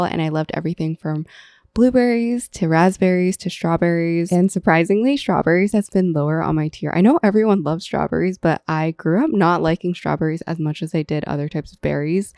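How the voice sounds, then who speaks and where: A woman's voice: lowish voice, a little mellow, smooth, no echo, clean studio sound. A woman in her twenties hosting a casual solo podcast, chatty and rambling, laughing often. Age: 20s. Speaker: podcast host.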